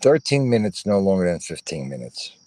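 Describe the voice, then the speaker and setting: Male guest, very deep pitch, phone mic, earnest and repetitive, halting. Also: sing-song, warm tone, dry recording, clean studio sound. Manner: earnest, repeats his points, halting restarts. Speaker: guest, fellow show host. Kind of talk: casual livestream chat.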